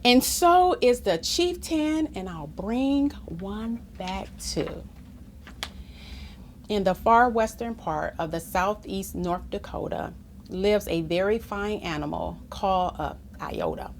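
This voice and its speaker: A woman reading a children's picture book aloud expressively, engaging listeners with questions and asides in an animated manner. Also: medium pitch, slow, a little hiss or hum. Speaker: storytime reader.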